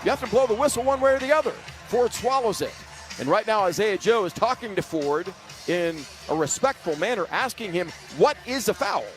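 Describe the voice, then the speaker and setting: High-pitched male basketball radio announcer. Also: expressive, a little fast, faint music behind. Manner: vivid, clipped descriptive phrasing. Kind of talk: live radio play-by-play commentary. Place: sports arena broadcast.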